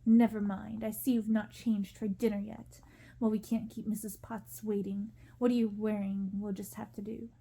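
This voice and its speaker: A woman's voice: medium pitch, level tone, normal speed, creaky, dark tone, breathy edge. A woman reading a storybook in ASMR style, voicing a cold, bullying young prince who is curt and condescending. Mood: scornful, impatient.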